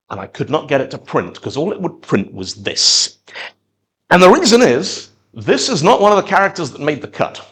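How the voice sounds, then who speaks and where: Animated middle-aged man giving a talk. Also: mid-range voice. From born or raised in Zimbabwe, moved to the UK at ten. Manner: animated, acts out imagined reactions with emphatic pauses. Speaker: conference speaker. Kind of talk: tech talk to an audience, conversational storytelling.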